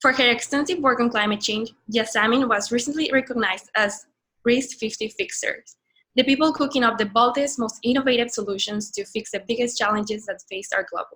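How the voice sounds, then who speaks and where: A woman's voice: a little high, a little fast, fairly bright tone. A woman reading a guest introduction aloud, stumbling and restarting over some words.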